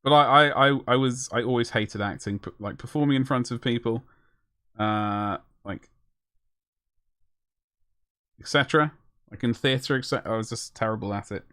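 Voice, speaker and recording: A man's voice: medium pitch, moderate pace, long pauses, a bit bright, no echo, studio quality. A man chatting casually on a live stream as he paints miniatures, rambling and self-deprecating as he answers his chat.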